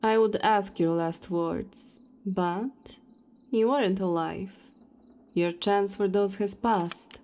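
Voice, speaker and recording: Woman acting slow, mellow, contemptuous vampire hunter. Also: mid-range voice, fairly even intonation, a little hiss or hum. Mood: cold contempt. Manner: taunting, contemptuous, unhurried. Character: cold, self-righteous female vampire hunter. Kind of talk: audio roleplay acting.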